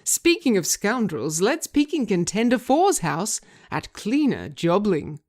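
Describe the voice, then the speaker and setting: A woman's voice: medium pitch, very animated, a little slow, a little mellow. A woman playing a slick, smirking television game show host with an arch, presenterly manner, in an audio drama. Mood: gleeful, arch.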